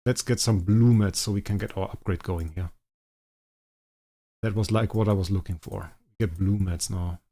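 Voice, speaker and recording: A young man, a native German speaker, casually thinking aloud as he streams a game, his sentences often trailing off quietly. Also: low voice, fairly even intonation, moderate pace. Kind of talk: casual livestream commentary.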